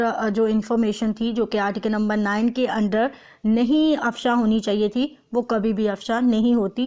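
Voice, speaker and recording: A woman with a Pakistani accent giving an explanatory lecture as a teacher, mixing in English terms and reading clauses slowly and deliberately. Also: fairly high pitch, a little mellow. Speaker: law lecturer. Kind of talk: explanatory lecture with phrase-by-phrase translation.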